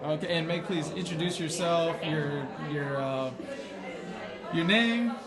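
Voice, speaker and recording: A man's voice: a little high, takes it slowly. A man interviewing poster presenters, asking hesitant questions and repeating words. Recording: off-mic with loud crowd chatter.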